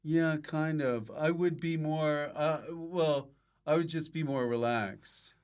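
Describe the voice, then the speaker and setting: A man's voice: on the high side, slow, a little mellow, clean tone. A man hosting a casual comedy podcast, encouraging and easygoing as he chats. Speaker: podcast host. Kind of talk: casual comic chat.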